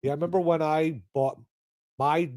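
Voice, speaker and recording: A man's voice: fairly high pitch, fairly even intonation, normal speed, darkish tone, no room sound, clean studio sound. A man co-hosting a podcast in a casual, conversational style, reacting to his partner. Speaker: podcast co-host. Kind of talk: casual chat.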